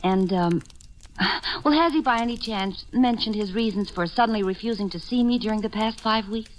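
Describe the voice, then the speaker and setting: A woman's voice: medium pitch, normal speed, lightly acted. An actress playing an imperious, hot-tempered young woman in a 1940s radio drama. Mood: haughty, tense. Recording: noisy vintage radio recording with clicks.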